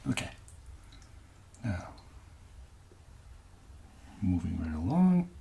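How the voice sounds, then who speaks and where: Man from Canada, low-pitched, very slow, soft breathy half-whisper, gentle ASMR cooking narration, light background noise. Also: very animated. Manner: gentle, unhurried, talking through each step. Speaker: amateur ASMR cooking host.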